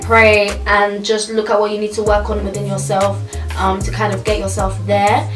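A woman's voice: medium pitch, completely flat delivery, moderate pace. Chatty young woman hosting from a roomy space. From Uganda heritage, born in UK. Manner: chatty, laughs often. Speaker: host. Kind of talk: casual chat. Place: indoor room, sofa. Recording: roomy sound from far off, with music.

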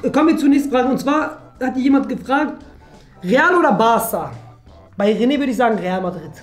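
A man's voice: very high voice, lively intonation, fairly quick. A young man hosting a video, answering viewer questions in playful, teasing casual banter. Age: young adult. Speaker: YouTube host. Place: furnished indoor room, seated at a table. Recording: roomy echo with music underneath.

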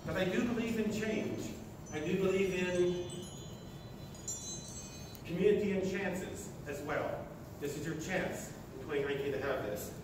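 In a big, very echoey lecture hall with clear background noise, an American man of sixty addresses an audience. His voice is on the high side for a man. He gives a motivational talk in short, emphatic phrases. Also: normal speed. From Oklahoma, United States. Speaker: speaker at a sustainability meeting. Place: large lecture hall, indoors. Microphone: distant camera at the back of the room. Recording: echoey hall from far off, noisy.

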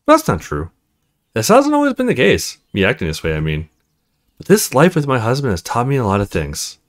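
A cool, confiding married woman from a visual novel, read aloud by a man in a mid-range voice. She speaks in measured phrases with very wide, expressive swings of intonation. She comes across as frank and self-possessed. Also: medium pitch. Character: cool, confiding married female neighbor, self-described ruthless cougar.

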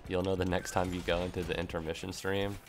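A young man streaming a game from home, his voice hoarse and gravelly from screaming, rambling and swearing as he plays. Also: deep voice, flat delivery, quick pace. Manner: rambling, swears when frustrated. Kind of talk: live gameplay stream chat. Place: home room.

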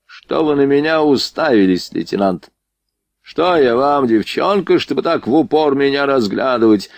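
Arrogant, dissolute grand duke performed by a man, drunk and bullying, pitched up for an audiobook. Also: lively intonation, normal speed, dry recording, muffled recording, natural voice. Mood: scornful. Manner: imperious, contemptuous, bullying. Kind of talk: audiobook character dialogue.

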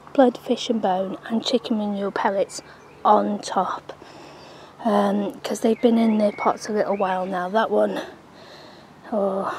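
A woman talks her way around a sunny allotment, slow and unhurried with a mellow, warm tone. Her chat rambles with plenty of ums, recorded on a handheld camera outdoors with a faint hiss behind her. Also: medium pitch, poor audio. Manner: chatty, rambling, frequent ums. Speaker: allotment vlogger. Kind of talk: casual gardening vlog narration. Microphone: handheld camera, speaker off screen.

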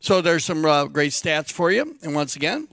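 Chatty male polo commentator, gravelly. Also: on the high side, big pitch swings, fairly bright tone, dry recording, studio quality. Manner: chatty, halting, stacks restarts. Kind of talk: live sports commentary. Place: broadcast studio.

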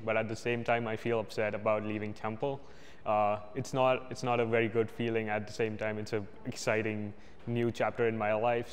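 A man's voice: medium pitch, big-room sound, slight background noise. A young man from India, a senior student, answering a campus interview in an earnest, reflective way. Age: young adult. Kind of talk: outdoor vox pop interview. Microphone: lapel.

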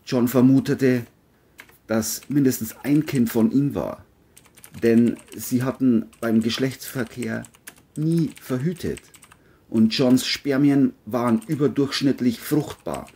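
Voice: mid-range voice, slow pace, mellow, slightly rough. A narrator reading a scripted crime audio drama, telling the story between the characters' lines.

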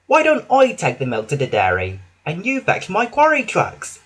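Man doing a calm, kindly tram engine. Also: sits high, normal speed, breathy edge, pitched above the performer's own voice, full character voice. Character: calm, sensible old male tram engine.

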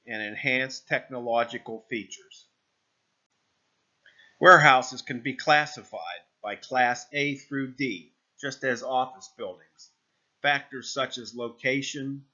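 A man narrates a scripted informational presentation. His voice sits at a middle pitch with a bright, firm edge, and he sets out items in measured phrases. The recording is somewhat dull and lo-fi. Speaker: narrator of a real estate explainer. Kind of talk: scripted narration read from slides.

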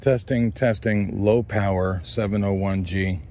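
A man's voice: lowish voice, steady tone, measured pace, darkish tone. A man hosting an outdoor radio range test, repeating test calls and calling to his dog in an open field, recorded on a body-worn camera. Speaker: radio hobbyist host.

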